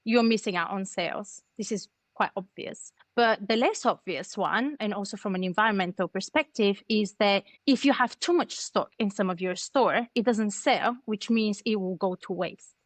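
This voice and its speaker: Female guest, 30s, native Bulgarian speaker, mid-pitched, articulate conversational explainer, dry room sound. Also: moderate pace, hardly any pauses, dry recording. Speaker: interview guest, startup co-founder. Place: plain room at home, video call.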